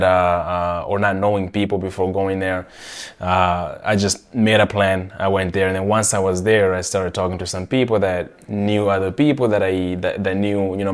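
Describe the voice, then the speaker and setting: Young adult man, podcast host, born in Rwanda; low, casual, chatty voice. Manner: chatty, self-correcting, frequent restarts. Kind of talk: casual conversation.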